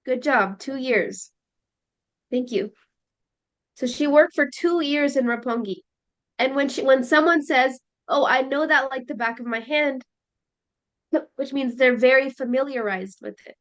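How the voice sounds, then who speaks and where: A woman teacher leading a listening lesson, explaining slowly and checking in with her students. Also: high-pitched. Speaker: English teacher.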